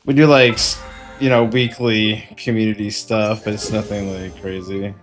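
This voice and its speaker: A man chatting casually and in a low-key way while livestreaming gameplay. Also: flat delivery, normal speed. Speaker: game streamer. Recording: music playing behind the voice. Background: game music.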